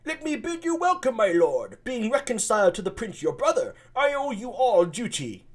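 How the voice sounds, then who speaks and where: A reader voicing a courteous old nobleman who welcomes his guests, pitched high. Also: sing-song, normal speed.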